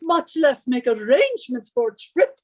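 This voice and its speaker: Woman acting scolding bossy english wife, radio drama, high voice that swoops all over. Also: big pitch swings, normal speed, darkish tone. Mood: bossy, impatient. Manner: imperious, scolding, henpecking. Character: bossy, nagging British wife of a professor, scornful. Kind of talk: radio suspense play acting.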